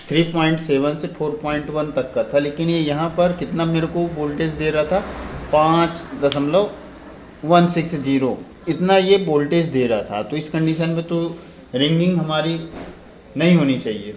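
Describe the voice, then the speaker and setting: Indian man explaining in an echoey room. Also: a little high. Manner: explanatory, repeats and rephrases points. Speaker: phone repair technician giving a tutorial. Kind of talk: informal spoken repair walkthrough. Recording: roomy echo, faint background noise.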